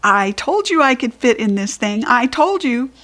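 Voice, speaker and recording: An excitable little girl from a children's storybook, acted out by a woman. Her voice sits high, and she is gushy, stubborn and dramatic. She begs and insists in emphatic, repetitive childlike phrases. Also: moderate pace. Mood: insistent, proud.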